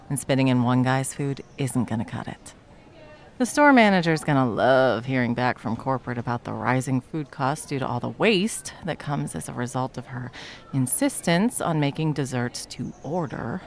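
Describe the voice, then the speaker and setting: A performer playing a jaded, sarcastic cafe baker with a villainous side, speaking in a dry, menacing deadpan for a comedy audio roleplay. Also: mid-range voice, normal speed, gravelly. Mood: dry menace. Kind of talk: ASMR comedy roleplay acting.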